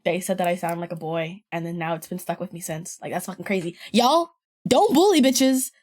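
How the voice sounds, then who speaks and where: A young woman with a deeper voice, a podcast guest telling stories in a casual, rambling chat full of laughs and swearing. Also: lively intonation, a little fast, a little mellow.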